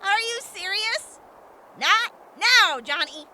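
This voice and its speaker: A woman acting as a sharp-tongued wasteland doctor in an audio drama. Her voice is very high and slow-paced, with lively swings of pitch, and she is sarcastic and blunt. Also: a little hiss or hum, exaggerated voice. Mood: brisk, clinical.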